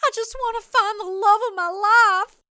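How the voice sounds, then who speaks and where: A woman's voice: extremely high pitch, steady tone, normal speed, bright, forceful tone, dry recording, clean studio sound, pitched above the performer's own voice. A woman voicing a hapless, lovelorn man in an audio drama, eager, naive and earnest as a puppy. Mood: pleading, earnest.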